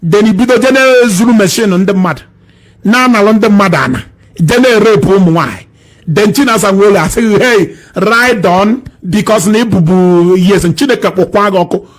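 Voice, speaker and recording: A man's voice: sits high, moderate pace. A man in a played clip, rambling as he switches between Igbo and English.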